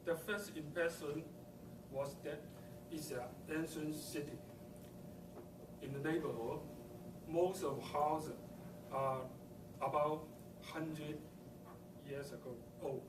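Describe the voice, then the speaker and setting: A man's voice: a little high, fairly even intonation, slow, pauses often. A man from southern China whose first language is Cantonese, giving a prepared first speech in English with a Chinese accent, hesitant and filled with ums and uhs. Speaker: first-time club speaker. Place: meeting room. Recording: echoey room, mic far off, background noise.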